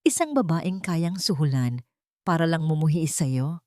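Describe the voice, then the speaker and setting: A woman's voice: deep voice, very animated, unhurried, a little mellow, no room sound, clean studio sound. A woman voicing a proud, indignant young mother, accusing and emotional, in a dramatic story. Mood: hurt, accusing.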